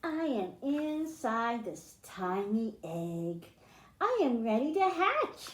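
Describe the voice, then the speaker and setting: Female storytime host, higher-pitched, teaching small children in simple terms; echoing room. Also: big pitch swings, takes it slowly. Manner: simple explanations addressed to children, with questions to them. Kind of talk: children's storytime read-aloud and science lesson. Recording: roomy, echoing indoor sound.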